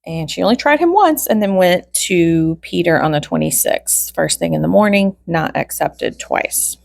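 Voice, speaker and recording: Low, creaky-voiced woman commentator. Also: lively intonation, normal speed, darkish tone, dry recording, clean studio sound. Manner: methodical, item-by-item recital with wry asides. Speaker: true crime commentator, host. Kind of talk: informal commentary reading through a phone call log.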